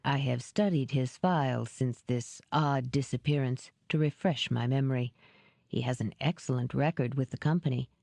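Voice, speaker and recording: A woman voicing a powerful older businessman in an audiobook, courteous, calculating and formal in a measured way. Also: extremely low pitch, gravelly, dark tone, dry recording, pitched below the performer's own voice, full character voice. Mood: measured, businesslike.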